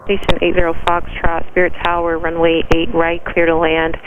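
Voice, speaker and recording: A man working as an air traffic tower controller, giving clipped, procedural clearances and instructions over aviation radio. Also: a little high, monotone, moderate pace, phone-quality sound. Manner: clipped, procedural, matter-of-fact. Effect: aviation radio transmission.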